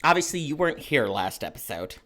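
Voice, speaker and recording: A man's voice: mid-range voice, big pitch swings, brisk, sharp tone. A man co-hosting a comedy podcast, trading crude, teasing banter and laughing often. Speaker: podcast co-host.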